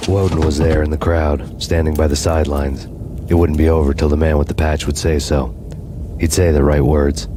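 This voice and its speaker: An actor playing a world-weary, hard-boiled cop who narrates a noir game in a grave, deliberate way. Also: male voice, rumbling low, little pitch movement, noisy background. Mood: grim, weary. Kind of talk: film noir scripted narration. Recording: music behind the voice.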